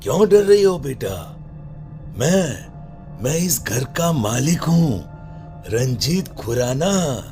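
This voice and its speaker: A man's voice: medium pitch, big pitch swings, takes it slowly, pauses often, warm tone, soft background music. A man voicing the eerie vanished master of a haunted house in a horror cartoon, unsettlingly familiar. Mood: eerily calm. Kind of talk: Hindi horror cartoon dialogue.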